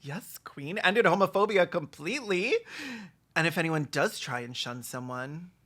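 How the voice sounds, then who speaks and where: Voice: mid-range voice, normal speed, fairly bright tone. Snarky reaction host with a dry delivery. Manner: snarky, dry, crude joking. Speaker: host of a reaction video show. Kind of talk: sarcastic comic commentary.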